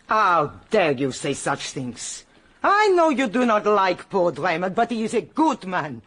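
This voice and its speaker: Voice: mid-range voice, very animated, measured pace, a bit bright. An actor playing a proud, pious and indignant woman in a radio drama, speaking emphatically in a stately manner. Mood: indignant, imperious. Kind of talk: detective radio drama acting.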